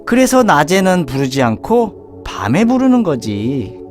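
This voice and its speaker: A man's voice: on the high side, huge pitch swings, measured pace, faint music behind. A man voicing an earnest, regretful caged lark.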